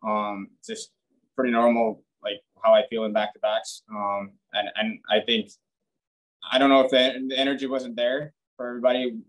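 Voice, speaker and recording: A man's voice: mid-range voice, flat delivery, moderate pace, rough recording. A young man, a hockey player, answering reporters in a post-game video interview, blunt and plain-spoken with frequent restarts. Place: team media room, press backdrop, indoors.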